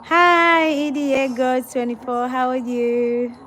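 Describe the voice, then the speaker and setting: A woman's voice: sits high, slow, fairly bright tone, slight background noise. A tired young woman talking casually on a livestream, greeting viewers and reading out their comments.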